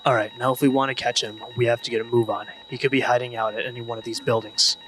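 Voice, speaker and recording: Serious young male investigator played by a man for audio drama: dry, commanding, level delivery, forceful edge, faint background noise. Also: medium pitch, level tone, fast, close to an everyday voice, poor audio. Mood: brisk, commanding. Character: Simon, serious young man leading a paranormal investigation team.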